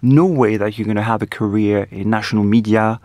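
A man's voice: fairly low pitch, fairly even intonation, brisk, darkish tone, no echo. French man musing on a radio panel. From France. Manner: hesitant, many restarts. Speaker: studio guest commentator.

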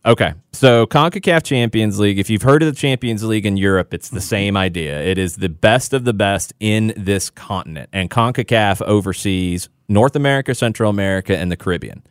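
Low smooth guy breaking down soccer tactics on sports radio, dry close sound. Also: brisk, few pauses, dry recording. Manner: explanatory, analytical. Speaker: soccer analyst guest.

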